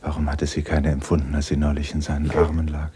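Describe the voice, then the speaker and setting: A man narrating a literary radio play in a sombre, measured, restrained manner. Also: rumbling low, completely flat delivery, dark tone.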